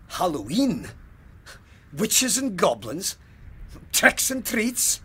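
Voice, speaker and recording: A man's voice: high voice, huge pitch swings, extremely slow, pushed and bright, breathy edge, exaggerated voice. A man playing an outraged, stubborn, pious police sergeant in an audio drama, speaking formally and emphatically. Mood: wary. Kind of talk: full-cast audio drama acting.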